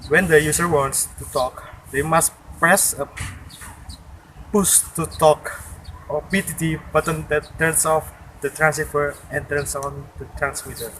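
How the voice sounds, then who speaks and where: A man's voice: a little high, steady tone, a little slow, fairly bright tone. A young man giving a memorized explanatory presentation as a student, recorded outdoors. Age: young adult. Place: outdoors in a leafy campus yard. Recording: clearly noisy outdoor recording.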